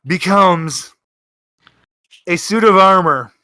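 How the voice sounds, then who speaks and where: A man's voice: high voice, takes it slowly, sharp tone, dry recording. A man acting as game master, casually narrating a tabletop game.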